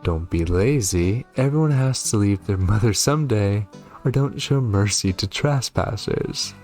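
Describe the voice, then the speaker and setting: A man's voice: fairly low pitch, expressive, unhurried, darkish tone, faint music behind. A man voicing a protective witch mother in a horror game, firm and instructive with her son.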